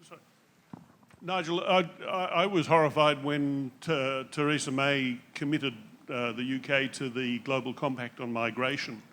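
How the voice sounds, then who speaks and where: A man's voice: medium pitch, steady tone, moderate pace, a bit bright, slight background noise. A man from the audience asking a question, hesitant and stammering.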